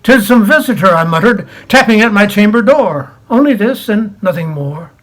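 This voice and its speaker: A man giving a theatrical, expressive recitation of a classic poem, swelling and hushing for dramatic effect. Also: high voice, moderate pace. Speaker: poetry reader. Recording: music under the voice. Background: background music.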